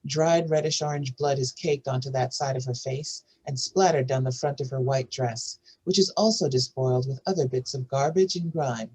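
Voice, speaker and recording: Woman, husky, low-clarity voice, screenplay narrator, scripted stage directions. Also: normal speed, few pauses, warm tone, rough recording.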